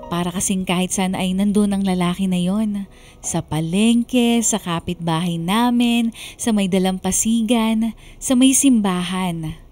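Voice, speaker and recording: A woman's voice: mid-range voice, a little slow, darkish tone, soft background music, lightly acted. A woman playing a bemused young woman who confides her love story as first-person radio drama narration. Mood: reminiscing. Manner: confiding, wondering.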